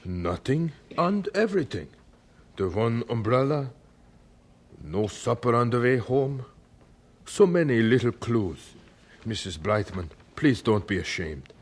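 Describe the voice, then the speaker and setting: A devout young preacher in a religious radio play. His phrasing is formal and foreign, his earnestness intense. The voice sits mid-range, rough-edged and very animated. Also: medium pitch, unhurried. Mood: gently insistent. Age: 30s. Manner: formal, fervent, foreign word order. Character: earnest, devout Prussian-born missionary man of thirty-seven. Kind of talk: religious radio drama acting.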